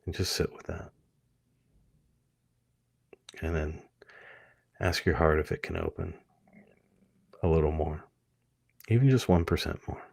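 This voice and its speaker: Low, husky man guiding a meditation. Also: huge pitch swings, no echo. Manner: soothing, gentle, permissive, with many pauses between short phrases. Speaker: hypnotist leading a guided meditation. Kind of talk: guided meditation, hypnosis.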